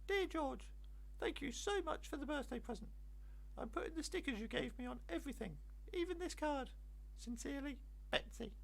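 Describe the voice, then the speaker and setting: A man voicing a sweet young girl in a sing-song falsetto for a children's storybook. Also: lively intonation, normal speed, vocal fry, fairly bright tone, exaggerated voice. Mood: sweet, grateful. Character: young girl neighbour reading out her sweet thank you card.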